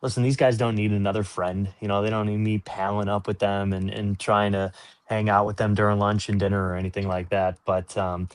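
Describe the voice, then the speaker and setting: A man's voice: fairly low pitch, flat delivery, brisk. A man working as a sports announcer, answering interview questions in an earnest, informal, explanatory way.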